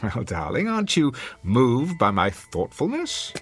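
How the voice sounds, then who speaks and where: A cruel, sarcastic man of wealth in classic radio drama style. His diction is formal and haughty, and his pitch leaps about dramatically. Music plays faintly behind him. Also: huge pitch swings, measured pace, breathy edge, exaggerated voice. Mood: smug, mocking. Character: sneering, domineering wealthy husband and employer, cruelly sarcastic.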